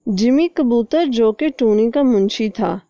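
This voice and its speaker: A woman's voice: on the high side, animated, slow, mellow, dry recording, studio quality. A woman narrating a scripted cartoon story as a storyteller.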